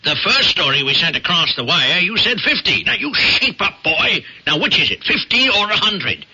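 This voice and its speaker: An actor playing a pompous, zealous older newspaper boss with a husky voice and a bombastic, commanding manner in an old-time radio drama. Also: male voice, high voice, sing-song, normal speed, pushed and bright, exaggerated voice. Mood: scolding. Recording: muffled vintage radio broadcast.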